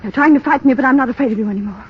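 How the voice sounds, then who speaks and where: An actress playing a proud, naive young wife in a 1930s radio drama, emphatic and indignant. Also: female voice, high voice, racing, breathy edge. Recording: muffled 1930s radio broadcast.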